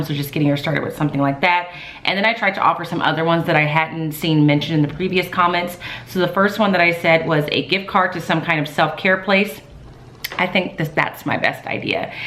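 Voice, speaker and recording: A woman in her thirties, a teacher, chatting casually in a calm, soft-spoken way with many fillers. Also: deep voice. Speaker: teacher vlogger. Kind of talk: casual vlog talk. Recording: echoey room.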